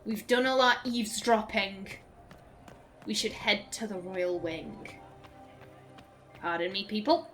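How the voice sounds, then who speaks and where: A woman's voice: medium pitch, fairly even intonation, slow, long pauses, a bit bright, faint music behind. A young woman chatting through gameplay as a let's play host, giggling and stumbling over her words. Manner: chatty, giggly, self-interrupting. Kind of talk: casual gameplay commentary.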